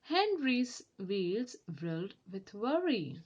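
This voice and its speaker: A woman reading a children's story aloud as an expressive narrator. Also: a little high, slow, highs cut off.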